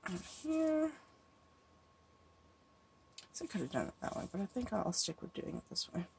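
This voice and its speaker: A woman's voice: mid-range voice, very animated, normal speed, gravelly, a little mellow, slight background noise. A woman with a stuffy cold, thinking aloud as she walks through making a handmade card. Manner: thinking aloud, musing and hesitant. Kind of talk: casual crafting tutorial talk.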